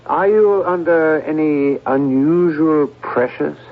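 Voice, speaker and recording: An actor playing a skeptical psychiatrist in a radio drama, questioning in a pointed, measured manner. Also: male voice, a little high. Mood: probing, analytical. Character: probing, skeptical male psychiatrist. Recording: muffled old radio broadcast.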